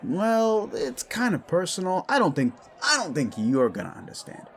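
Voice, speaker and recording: A man's voice: high-pitched, big pitch swings, moderate pace, pitched above the performer's own voice. A man voicing a scrawny, defensive werewolf bully who stammers, blusters and swears in an audio drama. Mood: smug, awkward. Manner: flustered stammering, blustering, foul-mouthed. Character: Fenris, scrawny hot-headed werewolf bully, male, defensive. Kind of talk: scripted audio drama dialogue.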